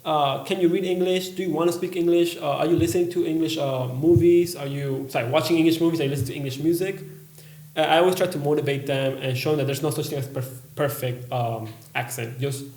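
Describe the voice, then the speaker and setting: A man, an English teacher talking earnestly on a podcast and pausing often with uhs. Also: fairly high pitch, fairly even intonation, a little mellow, reverberant. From USA. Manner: earnest, halting, many uhs. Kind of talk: casual podcast chat.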